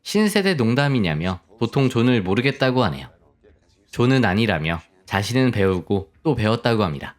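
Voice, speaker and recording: A male audiobook narrator reads a scripted story. His pitch sits in the middle of the male range and moves in a lively way. He reports what each person says in plain narration, without putting on character voices. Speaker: audiobook narrator of film summaries. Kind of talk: scripted storytelling narration in soft polite sentences.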